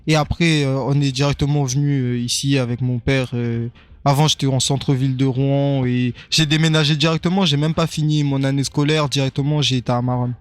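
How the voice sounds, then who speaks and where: A young man, a rapper born in Congo and raised in France, talking easily in a radio interview, his voice a bit hoarse after a show. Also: medium pitch, steady tone, fairly quick, dry recording. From Congo (Kinshasa), grew up in France. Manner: easygoing, repeats "c'est ça", "et cetera". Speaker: rapper guest.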